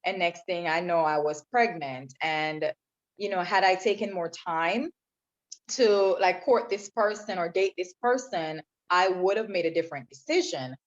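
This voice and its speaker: A woman's voice: on the low side, unhurried. Lady telling her story, earnest and reflective, kinda muffled lo-fi audio. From Jamaica. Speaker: podcast guest. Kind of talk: personal testimony in interview. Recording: dull lo-fi sound.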